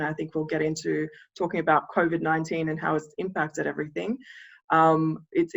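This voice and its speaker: A woman from Yugoslavia, a CEO, talking reflectively in a panel discussion, measured and hedging with fillers. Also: low-pitched, creaky. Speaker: CEO of a community organisation. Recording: dull lo-fi recording.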